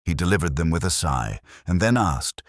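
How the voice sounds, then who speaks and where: Very deep-voiced man narrating a mystery. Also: lively intonation, normal speed, no room sound, studio quality.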